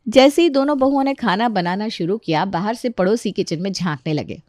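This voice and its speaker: A woman's voice: medium pitch, animated, brisk, a little mellow, no echo, studio quality. A woman reading scripted narration for a children's story.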